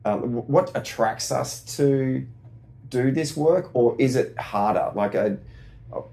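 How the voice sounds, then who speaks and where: A man's voice: mid-range voice, takes it slowly, vocal fry, mellow. A man from Australia, a community organiser, asking questions in a searching way with many fillers and restarts. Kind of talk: casual discussion.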